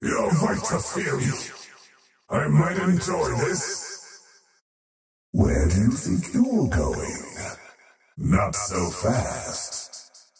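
A male actor playing a menacing, haughty faceless elder god of the void in a video game, speaking in an ominous, deliberate, theatrical way with an otherworldly echo effect. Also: medium pitch, wildly swooping pitch, darkish tone, full character voice. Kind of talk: video game character voice lines. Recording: cavernous echo, distant, music underneath.

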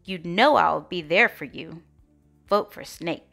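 A woman's voice: lowish voice, measured pace, gravelly, fairly bright tone, soft background music, voice pushed down. Confident, ingratiating storybook snake, read by a woman. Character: confident, ingratiating popular female snake candidate.

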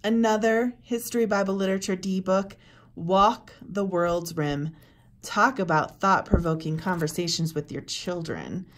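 A woman, around her thirties or forties, presenting recommendations to camera with deliberate, emphatic phrasing and pauses. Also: on the low side. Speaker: presenter for a homeschool curriculum blog. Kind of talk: book recommendation walk-through to camera.

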